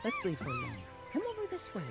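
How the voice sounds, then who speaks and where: Casting: gentle, coaxing grandmother, radio drama, highly varied intonation. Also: huge pitch swings, fast, over loud music, heavily acted. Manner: coaxing, instructing. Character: grandmotherly woman gently shepherding a small child.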